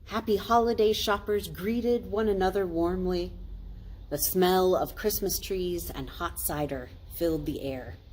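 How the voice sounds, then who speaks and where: An American woman, a pastor, reading a children's story aloud in a deliberate, explanatory way. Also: lowish voice, a little mellow, low-quality recording. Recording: faint noise, ambient sound.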